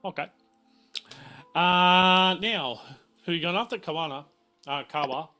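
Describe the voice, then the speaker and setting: A man refereeing a casual tabletop game, halting and often repeating himself. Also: sits high, sharp tone, soft background music. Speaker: game master.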